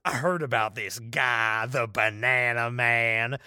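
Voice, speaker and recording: A man's voice: medium pitch, slow pace, sharp tone, clear, resonant tone, no echo, studio quality. A man playing a brash, self-amused wizard boss with a casual, dismissive manner in an audio drama. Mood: self-amused, musing.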